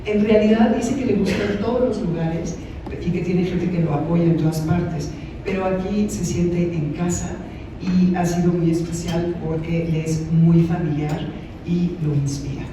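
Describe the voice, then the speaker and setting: An interpreter relaying answers and questions at a press conference, practical and brisk, with small hesitations. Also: medium pitch, steady tone. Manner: quick, functional relaying with hesitations. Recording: echoey room from far off, noisy.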